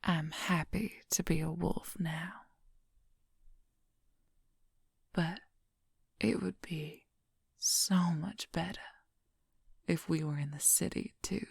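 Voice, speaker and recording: A woman acts out a possessive young werewolf woman in an ASMR role-play. She whispers very slowly and intimately, with a husky, breathy voice that crackles with heavy fry. The sound is close and dry. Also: sits low, steady tone, dry recording, exaggerated voice. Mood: soft, discontented.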